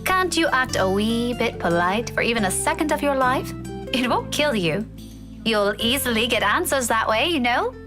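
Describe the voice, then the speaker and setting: A woman's voice: a little high, expressive, moderate pace, over music, close to an everyday voice. A woman voicing a feisty young woman, blunt, bossy and teasing, in a visual novel game. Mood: scolding. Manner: bossy, teasing, sharp-tongued.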